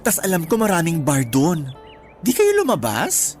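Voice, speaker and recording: Low female voice, swooping intonation, acted by a woman, nosy teasing pal in radio drama banter. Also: wildly swooping pitch, normal speed, darkish tone, faint music behind. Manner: teasing, exclamatory, mock-exasperated. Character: nosy, teasing close companion, lively and joking. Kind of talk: casual conversational radio drama dialogue.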